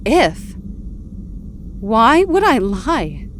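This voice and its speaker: Casting: vulnerable, earnest superheroine, higher-pitched, halting delivery, some noise behind her. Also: sing-song, exaggerated voice. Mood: confused, hurt. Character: earnest, confused heroine returned from death. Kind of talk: audio drama acting.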